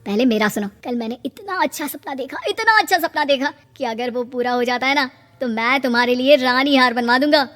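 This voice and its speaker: A woman voicing a cheerful, devoted and oblivious young husband in an animated story, earnest and matter-of-fact. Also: high voice, brisk, hardly any pauses, big-room sound. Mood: excited.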